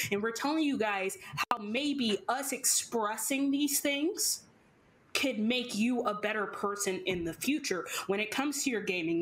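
A woman's voice: mid-range voice, fairly bright tone. A young woman with a Texas accent, speaking emphatically and directly to viewers as a panelist in a roundtable discussion, on a headset mic at home. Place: home room, indoors.

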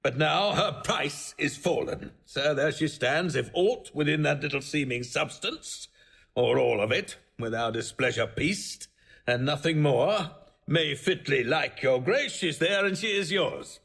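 Gravelly, volatile old king. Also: a little high, lively intonation, unhurried, fairly bright tone, exaggerated voice. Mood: bitter, scornful. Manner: declamatory, grand, commanding. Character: proud, wrathful old king, imperious and volatile. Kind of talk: classical Shakespearean audio drama acting.

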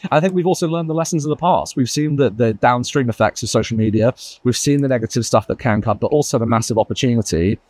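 A man speaking as a guest on a roundtable panel, talking quickly and emphatically with lots of asides. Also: mid-range voice, a little mellow, no room sound. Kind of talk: panel discussion.

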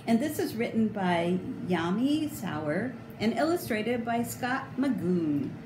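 A woman's voice: fairly high pitch, very animated, slow pace. A middle-aged woman reading a children's picture book aloud with theatrical, emphatic, sing-song expression. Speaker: storybook reader. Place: indoor room. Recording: roomy echo, clear background noise.

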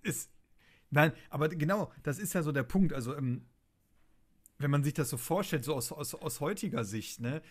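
Male podcast host, slightly high voice, colloquial, casual storytelling. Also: lively intonation, normal speed. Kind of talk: casual chat.

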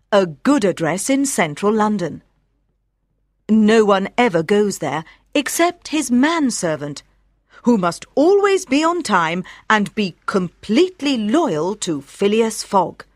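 Woman narrator, scripted storytelling, unhurried, careful phrasing, animated pitch, dry close sound. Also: no echo. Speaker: audiobook narrator.

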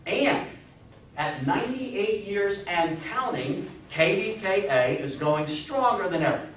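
A man in his sixties giving a public history talk, speaking in an emphatic, deliberate storytelling way with rhetorical questions. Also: high voice, expressive, a bit bright. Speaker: guest lecturer on history. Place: indoor meeting room with seated audience. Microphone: distant camera microphone. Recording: echoey room, distant mic, faint noise.

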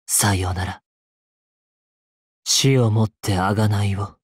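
男性声優がゲームで演じる、悲哀に満ちて丁寧な物言いの、冷徹で詩的な騎士の弓使い。. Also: male voice, fairly low pitch, fairly even intonation, slightly rough, no room sound, studio quality. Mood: solemn, mournful. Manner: slow, formal, poetic delivery. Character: sorrowful, courtly male knight archer, poetic and coolly merciless. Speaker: voice actor in a mobile game. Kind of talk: theatrical game battle lines. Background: game music.

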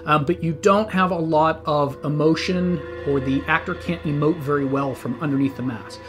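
A man's voice: a little high, moderate pace, over music. A man in his thirties, a channel host, chatting casually and explaining practical details in a small indoor studio. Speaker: channel host reviewing masks. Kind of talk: casual review talk.

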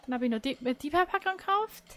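High-voiced chatty woman. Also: animated, fast, a bit bright. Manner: chatty, teasing. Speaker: gaming co-host. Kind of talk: casual chat. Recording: clear background noise.

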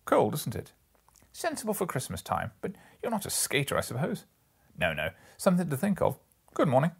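A man's voice: a little high, wildly swooping pitch, a little fast, vocal fry, slightly rough, voice pushed up, exaggerated voice. A man voicing smug Victorian businessmen making breezy, clipped small talk in a storybook reading.

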